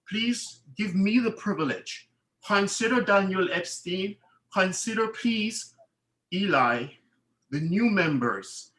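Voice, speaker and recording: Man with a bright voice, earnest and formal, often restarts, echoey room. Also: high voice. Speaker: board candidate. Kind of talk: candidate speech at a meeting.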